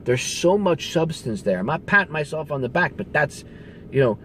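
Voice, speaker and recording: A man's voice: a little high, sing-song, moderate pace, fairly bright tone. Emphatic ranting man over background noise. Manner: emphatic, conversational, profane. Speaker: radio show host. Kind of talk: casual political rant to camera.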